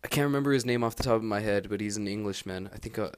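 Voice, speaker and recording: A man's voice: fairly low pitch, very fast, a little hiss or hum. A young man recording a casual audio diary while studying abroad, meandering and candid, with lots of fillers and restarts. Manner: laid-back and candid. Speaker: exchange student keeping an audio diary. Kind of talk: rambling personal audio log. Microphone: handheld recorder held close.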